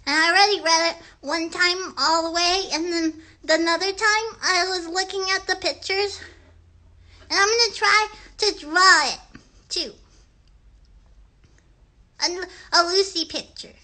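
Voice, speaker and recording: Very high, chatty little kid puppet. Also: female voice, unhurried, pauses often, pushed and bright, exaggerated voice. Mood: excited, friendly. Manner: rambling, childlike, stumbles on big words. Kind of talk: livestream children's puppet show.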